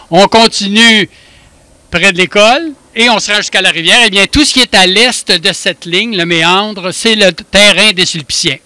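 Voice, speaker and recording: Guy doing a long-dead french priest giving a history speech, piercing bright voice, dry sound. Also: sits high, dry recording, heavily acted. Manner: deliberate, teacherly, engages the listeners with questions. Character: long-dead male French Sulpician priest, genial town founder. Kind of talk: first-person historical re-enactment speech.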